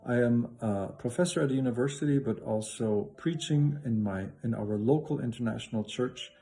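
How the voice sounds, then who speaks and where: A man's voice: mid-range voice, moderate pace, mellow, faint music behind. A middle-aged man from Canada, a professor and lay preacher, giving an enthusiastic greeting and Bible teaching with frequent restarts.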